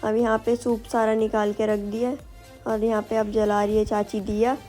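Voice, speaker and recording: A woman's voice: medium pitch, completely flat delivery, moderate pace, mellow, faint music behind. A young woman with a sore throat, narrating her family's festival rites as a vlog voice-over, explaining each step. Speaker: vlogger.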